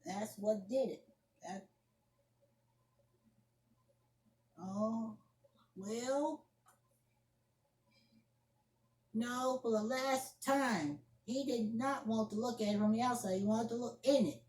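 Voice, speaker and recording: A woman acting an indignant young woman in a solo amateur monologue, scolding and defending herself emphatically with repetitions and halting restarts. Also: fairly high pitch, darkish tone, lightly acted. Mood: scolding, accusing. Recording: echoey room.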